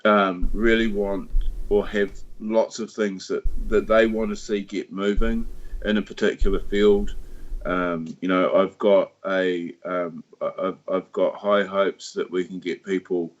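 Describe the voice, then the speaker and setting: A man's voice: low voice, fairly even intonation. A man with a New Zealand accent, talking casually on a livestream about his party, with lots of restarts and fillers. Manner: halting, many restarts and fillers. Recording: dull lo-fi stream audio.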